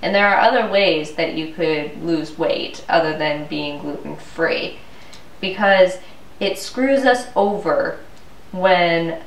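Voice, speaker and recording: A woman's voice: on the low side, slow pace. A young woman with a cold, sounding stuffy and congested, ranting casually to her vlog camera at home. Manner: chatty, exasperated ranting. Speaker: vlogger. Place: living room at home, indoors. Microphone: camera mic from a few feet away. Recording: roomy, distant camera audio.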